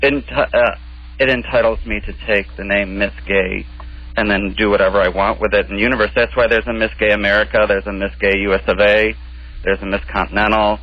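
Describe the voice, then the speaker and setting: A man's voice: fairly low pitch, steady tone, brisk. A man in a casual, argumentative radio conversation, speaking defensively as a guest. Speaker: radio show guest, pageant organizer and drag performer. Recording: dull lo-fi radio sound.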